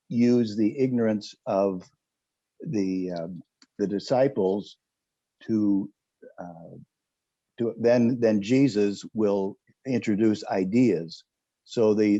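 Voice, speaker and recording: A man taking part in a Bible study discussion, speaking in a halting, deliberate way and pausing mid-phrase. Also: fairly low pitch, dark tone, no echo, highs cut off. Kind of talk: casual group discussion.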